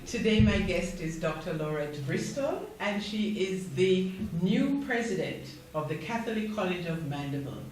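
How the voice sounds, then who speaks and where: A man's voice: high-pitched, very animated, moderate pace, hardly any pauses. A male host welcomes a guest and keeps the talk moving with short, friendly prompts. His voice sits far from the mic in a very echoey space, with faint noise underneath. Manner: friendly, prompting with brief affirmations. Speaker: interview host. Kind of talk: hosting an interview.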